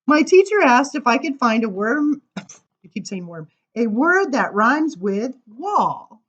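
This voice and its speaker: A woman reading children's poems aloud at story time, informal, with dramatic emphasis and drawn-out words. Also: on the high side, very animated, a bit bright, muffled recording. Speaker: library story time reader.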